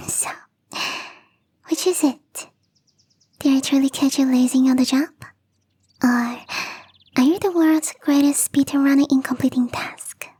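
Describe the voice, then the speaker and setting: Soft, high-pitched whispery catgirl acted by a woman. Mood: teasing. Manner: gently teasing, confiding, addressing the listener directly. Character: gentle young catgirl gardener, teasing, earnest, soft-hearted. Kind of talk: ASMR roleplay, intimate scripted acting.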